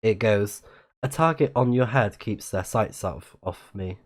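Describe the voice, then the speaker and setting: Man, fairly low pitch, game streamer, casual commentary, reading text aloud, occasional self-corrections. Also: measured pace. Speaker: livestreaming gamer and channel host.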